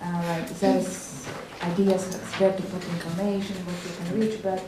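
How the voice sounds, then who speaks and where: A woman's voice: on the low side, flat delivery, normal speed. A woman moderating a live discussion with a probing, insistent manner. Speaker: panel moderator. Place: indoor panel discussion room. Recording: very echoey room, faint noise.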